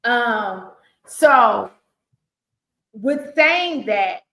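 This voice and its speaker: Emphatic woman host with a Southern accent; slow, higher-pitched delivery in an echoey room. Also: lively intonation, sharp tone. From Chicago. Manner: emphatic, outspoken. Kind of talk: podcast discussion.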